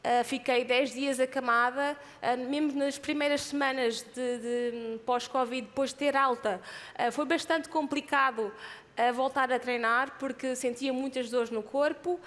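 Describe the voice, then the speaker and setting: A woman in her thirties from Portugal with a European Portuguese accent, giving an earnest motivational talk about her life as an athlete, pausing and restarting often. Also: high-pitched, fairly even intonation, a bit bright, in a large hall. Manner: earnest, with frequent hesitations and restarts.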